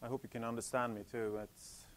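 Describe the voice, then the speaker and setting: A man from Sweden with a Swedish accent, English not his first language, giving a technical conference presentation in a halting way with many restarts. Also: mid-range voice, flat delivery, a bit bright. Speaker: conference speaker. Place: conference hall.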